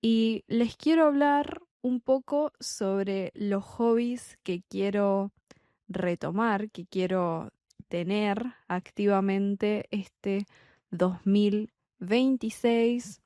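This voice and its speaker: A young adult woman from Argentina with a Buenos Aires accent, a Spanish tutor talking slowly and deliberately in a podcast for learners. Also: medium pitch, mellow, no echo, clean studio sound. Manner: slow, deliberate, clearly spaced phrasing. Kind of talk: slow podcast monologue for learners.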